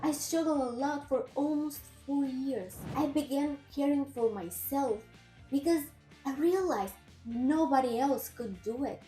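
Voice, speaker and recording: A Bulgarian-accented woman in her thirties speaks in a high, soft-toned voice, earnest and measured as she tells her story to camera. The room rings with echo, and light music sits underneath. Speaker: personal trainer and nutritionist. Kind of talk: personal story vlog. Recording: roomy echo with faint music.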